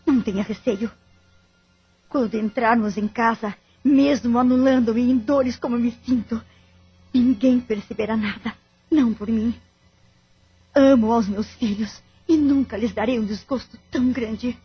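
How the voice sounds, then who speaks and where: A woman's voice: a little high, fairly even intonation, measured pace, husky, lo-fi sound, soft background music. A woman acting in an audio drama with a Brazilian accent, playing a bitter, wounded wife and devoted mother in formal, melodramatic phrasing. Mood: cold, resigned.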